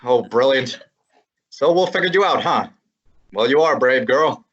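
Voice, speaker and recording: A man's voice: mid-range voice, lively intonation, normal speed, fairly bright tone, husky, dull audio. A man playing a wisecracking young male detective assistant in a student radio drama, breezy and matter-of-fact. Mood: wry. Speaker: student actor.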